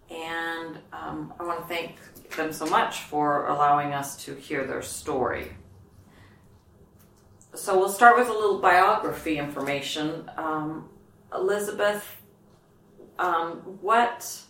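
A woman interviewer asking gentle, hesitant questions in an oral history interview at an interviewee's home. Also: low-pitched. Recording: roomy, from far off.

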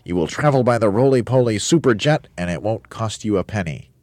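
Man voicing a plump jolly bird, lively pitch. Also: brisk, dry recording, natural voice. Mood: reassuring. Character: jolly, helpful, boastful plump male bird.

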